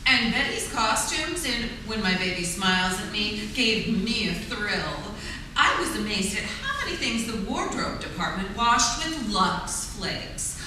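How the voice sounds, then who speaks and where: Woman playing a bright, animated Hollywood drama coach. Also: mid-range voice, measured pace, slight background noise. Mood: gushing. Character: gushing female Hollywood drama coach in sponsor ad. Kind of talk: 1940s radio testimonial. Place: stage of a community theatre. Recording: echoey theatre from far off.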